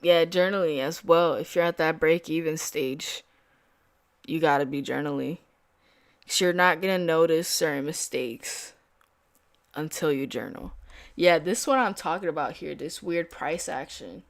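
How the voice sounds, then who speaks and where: A woman's voice: low-pitched, normal speed, no room sound, studio quality. A young woman chatting casually with viewers while trading live, full of fillers and slang. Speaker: day trader streaming live. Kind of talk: casual live-stream chat.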